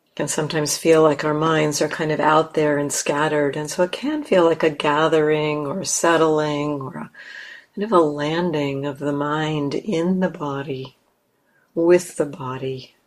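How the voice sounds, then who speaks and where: Woman doing guided meditation, gentle n unhurried, pretty flat pitch, lots of space between bits. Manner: gentle, unhurried, with long pauses. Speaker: meditation teacher.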